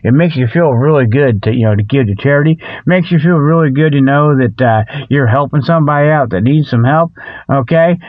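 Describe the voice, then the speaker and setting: A man hosting a comedy radio show, rambling folksily through mock sales pitches in a scatterbrained way and often losing his thread. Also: brisk, no echo. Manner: scatterbrained, loses his thread, chuckles at his own jokes; folksy, colloquial, checks in with "okay". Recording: dull lo-fi radio sound.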